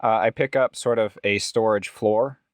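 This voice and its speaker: A man with a fairly low voice takes part in a tabletop role-playing game. He casually talks through his character's moves, and the sound is dry with no room echo. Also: fairly even intonation, normal speed.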